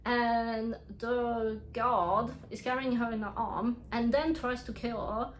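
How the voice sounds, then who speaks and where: A woman's voice: a little high, fairly even intonation, slow pace, slight background noise, rough recording. A young woman with a French accent, a native French speaker, reviewing books in a casual, frank vlog, laughing often and restarting her sentences. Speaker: book reviewer.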